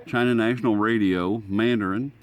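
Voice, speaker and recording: A man's voice: fairly low pitch, fairly even intonation, normal speed. A man giving casual, unscripted commentary as a radio hobbyist, musing and guessing aloud as he goes. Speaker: shortwave radio hobbyist narrating a band scan. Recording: noisy, radio static behind. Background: shortwave radio static and stations.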